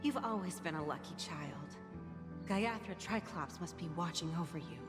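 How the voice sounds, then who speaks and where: A woman playing a gentle, devout, protective older sister in a video game. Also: lowish voice, lively intonation, normal speed, natural voice. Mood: tender, earnest. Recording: long reverb, loud music behind.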